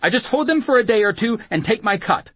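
Breathless, high-pitched, cowering brothel keeper. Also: male voice, animated, brisk, a bit bright, breathy edge, no echo, full character voice. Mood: breathless confession. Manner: breathless, babbling, pleading. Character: frightened whoremaster, rambling with feeble rage and foul-mouthed pleading.

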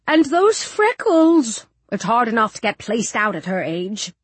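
A woman's voice: fairly high pitch, big pitch swings, measured pace, slightly rough, dry recording, heavily acted. A woman voicing a plump, disapproving matron in an audiobook, pessimistic and disdainful, drawing out her words. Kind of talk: audiobook character dialogue.

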